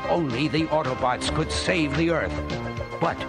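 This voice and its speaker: A man's voice: fairly high pitch, a little slow, over loud music. A man giving dramatic scripted narration as the narrator of a storybook.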